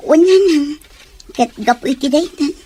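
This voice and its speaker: Brief: woman as a dutiful young daughter, high voice, respectful and soft-spoken. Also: moderate pace, natural voice. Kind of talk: radio drama acting.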